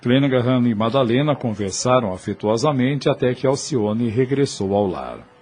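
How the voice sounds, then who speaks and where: A man's voice: mid-range voice, expressive, moderate pace, darkish tone, slightly rough. A Brazilian man narrating an audio drama in formal, literary storytelling language.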